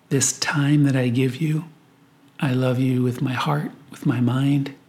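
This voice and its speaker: A man speaking as a priest, guiding a meditation with prayer and scripture in an unhurried, reflective manner. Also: mid-range voice, darkish tone. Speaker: priest leading guided prayer. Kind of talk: guided meditation, spoken prayer and scripture reading.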